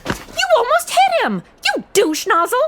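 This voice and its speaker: A woman's voice: extremely high pitch, huge pitch swings, measured pace, heavy background noise, exaggerated voice. A woman playing a brash, impulsive modern American sidekick in a comedy audio drama, slangy, blunt and sarcastic. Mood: indignant.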